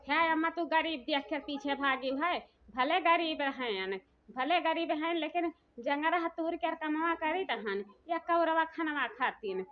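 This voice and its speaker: A woman as a high-voiced, aggrieved village daughter-in-law. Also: unhurried. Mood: indignant. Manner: complaining, plaintive. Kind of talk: comedy cartoon dialogue in rural dialect. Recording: dull, muffled audio.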